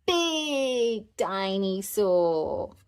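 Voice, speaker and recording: Casting: woman as tiny boy piglet, storybook toddler, very slow stretched words, eager and simple. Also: medium pitch, big pitch swings, clear, resonant tone. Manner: drawn-out, one or two simple words at a time.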